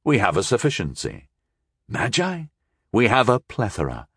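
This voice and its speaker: A man's voice: mid-range voice, big pitch swings, a little slow, no echo, clean studio sound. A man voicing a bold knight-mage hero in an audiobook, lecturing with cutting, oratorical defiance. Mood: defiant challenge.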